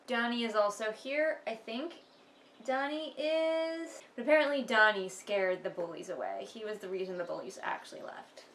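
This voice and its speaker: A woman's voice: mid-range voice, sharp tone, reverberant, a little hiss or hum. A woman giving casual, mocking commentary as she reads along with a book, sarcastic and exasperated. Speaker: host of a book read-along.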